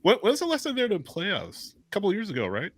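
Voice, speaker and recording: A man's voice: high voice, huge pitch swings, very fast, no echo, clean studio sound. A man co-hosting a podcast in casual, chatty conversation. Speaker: podcast co-host. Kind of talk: casual chat.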